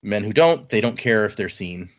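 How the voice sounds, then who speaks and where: Stern, steady lawman, read plainly by a man; dull lo-fi recording. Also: fairly low pitch, normal speed, a little hiss or hum, natural voice. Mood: grim. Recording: slightly muffled lo-fi audio.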